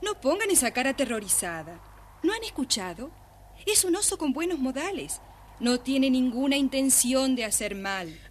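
An actress playing a kind, hospitable mother in a children's story record, with a gently commanding manner. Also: high voice, very animated, moderate pace. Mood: hospitable, reassuring. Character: kind, hospitable widowed mother of two girls. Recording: faint noise, old children's story record.

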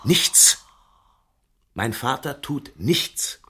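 A man's voice: mid-range voice, expressive, very slow, sharp tone, slightly rough, full character voice. An actor playing a stubborn, embittered middle-aged father in a radio drama, insistent and terse. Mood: bitter, agitated. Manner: insistent, terse, emphatic repetition.

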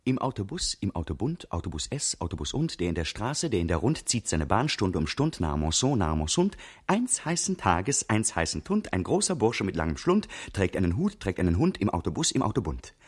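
A man playing a playful balladeer who chants nonsense rhymes in a husky, rhythmic singsong for a radio play. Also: big pitch swings, a little fast, exaggerated voice. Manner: rhythmic, singsong, swinging between high and low. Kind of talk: performed verse in a radio play.

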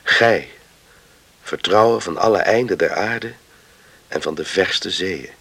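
Grave island villager: very low, husky, measured and solemn, for radio drama. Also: male voice, a bit bright, full character voice. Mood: solemn reading.

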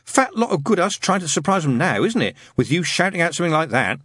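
A man's voice: on the high side, big pitch swings, fast talker, a bit bright, breathy edge, no room sound, studio quality, voice pushed up, exaggerated voice. A man voicing a bossy, imaginative boy from a storybook, lofty, emphatic and insistent. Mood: indignant, scornful. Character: bossy, know-it-all boy, imaginative gang leader.

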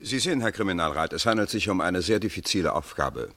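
An actor playing a worried, formal government minister in a 1950s German radio play, speaking in a measured, urgent way. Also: male voice, sits low, expressive, bright, forceful tone, full character voice. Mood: grave, worried.

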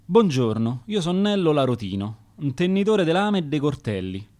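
A man's voice: on the high side, sing-song, moderate pace, darkish tone. A man with a Roman accent playing a boastful male knife grinder in dialect verse for a radio play.

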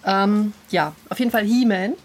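A woman with a medium-pitched, husky voice chats as a podcast co-host. Her delivery is informal and conversational, like someone reminiscing among friends. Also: moderate pace, a little mellow. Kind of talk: casual nostalgic podcast chat.

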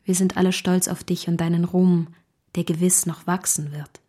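An actress playing a gentle, devoted young Victorian woman in a radio drama, speaking softly and earnestly with a husky voice. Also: on the low side, flat delivery, moderate pace. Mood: solemn, loving. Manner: earnest, solemn. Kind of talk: literary radio drama acting.

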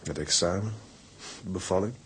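Husky-voiced affectionate seaman husband. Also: deep voice, normal speed. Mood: thoughtful. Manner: colloquial, affectionate. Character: Jan, warm male merchant seaman, loving young husband. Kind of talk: radio drama acting.

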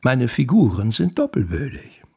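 An actor in a radio drama playing a proud, wry novelist who narrates his own story in a measured, confiding way. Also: medium pitch, huge pitch swings, vocal fry, a little mellow, husky, exaggerated voice. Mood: knowing, dramatic.

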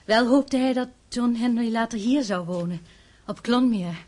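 An actress in a radio drama playing a gentle, proud young widowed mother with a polite, reserved manner. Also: on the high side, moderate pace, natural voice. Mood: proud, wistful. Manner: soft-spoken with her child, politely reserved.

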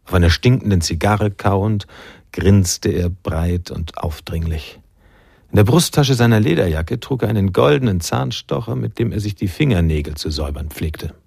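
A sombre noir storyteller narrating a radio drama. His voice is deep and husky, recorded very dry, with a measured, reflective delivery. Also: lively intonation, a little mellow, no room sound, full character voice. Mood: sombre, ominous.

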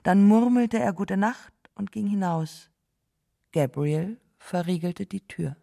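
A woman reading literary narration and quoted dialogue for a radio drama in a measured, deliberate way. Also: medium pitch, creaky, mellow.

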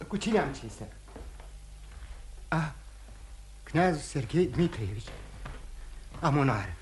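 An actor playing a remorseful, courteous, dissolute gentleman husband in theatrical radio drama style, candid and halting with repetitions. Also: on the high side, lively intonation, slight background noise, close to an everyday voice. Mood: courteous, uneasy. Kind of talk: mid-century Romanian radio theatre acting.

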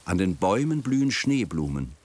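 A man reading a scripted, descriptive radio narration in a measured storytelling manner. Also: sits low, sing-song, darkish tone. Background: music.

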